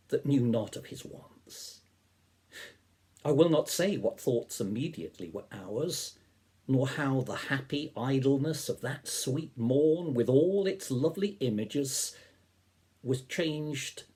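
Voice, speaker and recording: A man in his seventies reading poetry aloud in a deliberate, expressive way, with a soft-edged, slightly husky voice. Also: medium pitch. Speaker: poetry reader, reverend. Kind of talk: measured poetry reading with introduction. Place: small living room or study, indoors.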